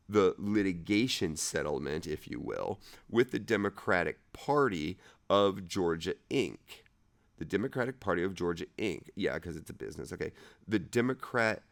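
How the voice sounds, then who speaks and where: A man reading legal text aloud haltingly, rereading and correcting himself, with irreverent, offhand commentary of his own. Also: sits low, gravelly. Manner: irreverent, sarcastic asides. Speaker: amateur reader of a legal document.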